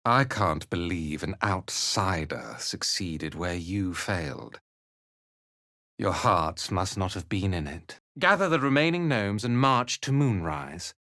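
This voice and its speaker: An actor playing a haughty, ruthless cult commander in a video game, speaking in an imperious, deliberate, disdainful manner. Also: male voice, low-pitched, lively intonation, bright, forceful tone, dry recording, studio quality, exaggerated voice. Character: haughty, ruthless male cult commander, contemptuous slave driver. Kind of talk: video game character acting.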